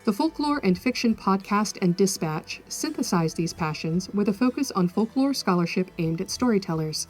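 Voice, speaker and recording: A woman hosting an educational podcast, reading scripted narration in a measured, articulate, explanatory manner. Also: medium pitch, expressive, faint music behind. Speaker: podcast host, folklorist.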